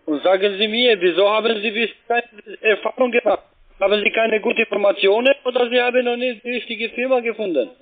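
A man who is a non-native German speaker, delivering a telemarketing sales pitch. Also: fairly even intonation, a little fast. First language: not German. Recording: tinny narrow phone line.

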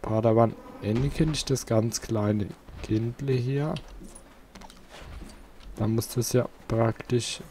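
A man talks his way through a video game in a casual let's play style. He takes his time and often mutters to himself, at a middling pitch, with faint noise behind the voice. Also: steady tone, slow, long pauses, mellow. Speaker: let's player. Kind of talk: casual gameplay commentary.

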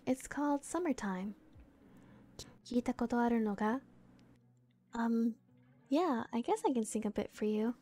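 A young woman playing a friendly, bashful, teasing older sister in a gentle, chatty ASMR roleplay. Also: on the high side, moderate pace, pauses often, lightly acted. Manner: gentle, giggly, bilingual English and Japanese. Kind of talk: soft ASMR roleplay, casual chat.

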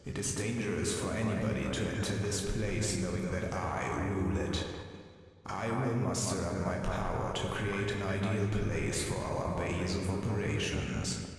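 A man voicing a menacing ancient villain overlord in a fan dub, speaking in a deep, booming voice, slow and commanding. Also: noisy background. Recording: heavy echoing hall reverb.

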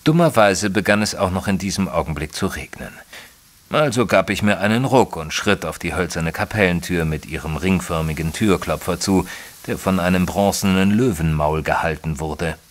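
An actor playing an educated, observant gentleman who narrates his own story in a formal, literary audio drama style. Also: deep voice, normal speed, close to an everyday voice. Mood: measured narrating. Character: male narrator Mister Tristram, educated, observant, easily flustered gentleman. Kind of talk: scripted first-person audio drama narration.

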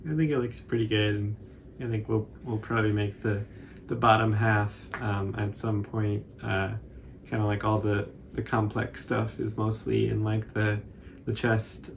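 A man's voice: lowish voice, flat delivery, moderate pace, gravelly. A man, chatting casually and modestly through a tutorial. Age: 20s. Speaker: cosplay channel host. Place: small room indoors on a couch. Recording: faint background noise.

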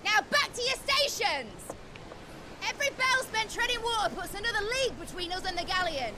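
An actress playing a brisk, bossy ship's commander barking orders in a video game cutscene. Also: female voice, extremely high pitch, sing-song. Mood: commanding. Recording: busy deck crowd and sea noise.

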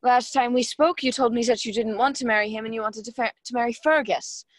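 A woman's voice: a little high, steady tone, fairly quick, no room sound, close to an everyday voice. A woman acting a snide, dismissive wealthy hostess in a mystery radio play. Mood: bitter, threatening. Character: haughty, sharp-tongued wealthy young hostess.

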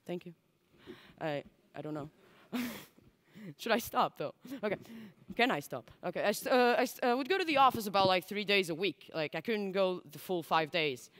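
A woman's voice: lowish voice, fairly bright tone. A woman in her twenties, a native Portuguese speaker, giving a candid, chatty conference talk on a large stage through a headset mic. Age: 20s. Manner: candid and chatty, full of likes and asides. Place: large dark stage with audience. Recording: long hall reverb.

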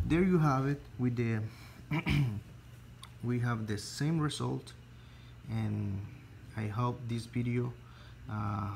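A man with a Spanish accent, Spanish his first language, teaching an electrical calculation slowly step by step, halting and restarting phrases as he searches for English words. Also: medium pitch, creaky, a little hiss or hum. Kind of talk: slow step-by-step tutorial.

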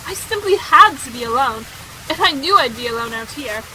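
A woman's voice: sits high, a little fast, background noise. A woman playing a talkative, candid, headstrong young society lady in a period audio drama, with emphatic, dramatic delivery. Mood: chattily indignant. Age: young adult. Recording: steady rain sound effects behind.

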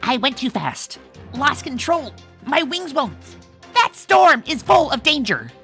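A woman's voice: high voice, very animated, slow pace, pushed and bright, full character voice. A woman voicing a brash, cocky young female cartoon pegasus in a comic dub, with a boastful, dramatic delivery. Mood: determined, boastful. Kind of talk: animated comic dub acting. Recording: voice over background music.

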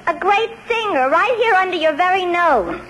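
An actress playing a brassy, vain, dim-witted would-be singer, sassy and bickering, in 1950s radio comedy. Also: female voice, extremely high pitch, lively intonation, moderate pace, a bit bright. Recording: very noisy old radio recording.

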